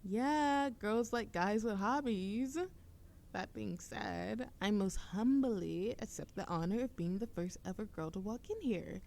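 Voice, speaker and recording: Slow-talking, teasing young woman played by an actress. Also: medium pitch, expressive, a little mellow. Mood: playful, curious. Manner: playful, teasing, breathy laughs and drawn-out phrases. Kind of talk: intimate roleplay audio acting, one-sided dialogue.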